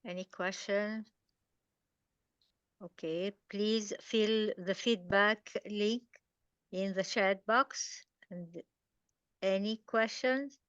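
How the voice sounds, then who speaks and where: A woman moderator speaks slowly in a smooth, clear voice, giving short prompts for questions. The sound is dry and lo-fi, like a video call. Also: mid-range voice, fairly even intonation, a bit bright, dry recording. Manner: brief, prompting. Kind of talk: moderating a webinar. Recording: dull lo-fi dry online audio.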